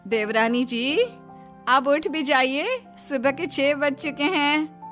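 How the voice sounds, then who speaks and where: A woman's voice: high-pitched, slow pace, a bit bright, faint music behind. A woman playing an exasperated female relative in a family cartoon, chiding and earnest. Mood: insistent. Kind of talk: Hindi cartoon dialogue.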